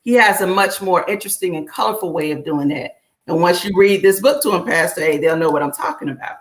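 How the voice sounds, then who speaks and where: Relaxed middle-aged woman author. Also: on the low side, fast. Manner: relaxed, reflective, with many fillers. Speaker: children's book author, guest. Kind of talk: casual conversation.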